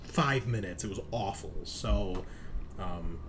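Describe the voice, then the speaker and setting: A man's voice: on the low side, takes it slowly, fairly bright tone, a little hiss or hum. A man hosting and game mastering a tabletop roleplaying session in an informal, casual way.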